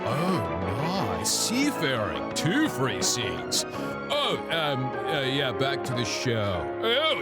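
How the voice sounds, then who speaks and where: An actor playing a scatterbrained, excitable radio show host who rambles and interrupts himself. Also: male voice, a little high. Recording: music behind the voice.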